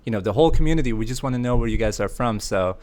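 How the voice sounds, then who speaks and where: A man's voice: mid-range voice, expressive, racing, a little mellow. A man from Sweden hosting a casual livestream, chatty and playful, often laughing as he talks to viewers. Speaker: livestream host.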